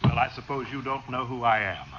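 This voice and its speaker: A man's voice: mid-range voice, a little fast, natural voice. A man playing an eager, unctuous corporate vice president, pompous and fussily polite, in 1950s radio drama style. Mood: oily congratulation. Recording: muffled, noisy 1950s radio recording.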